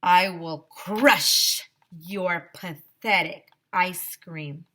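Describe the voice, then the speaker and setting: Woman doing a mean barking male principal, slow and forceful. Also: lowish voice, exaggerated voice. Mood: menacing. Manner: barking, menacing, drawn-out shouting. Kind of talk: children's storybook read-aloud.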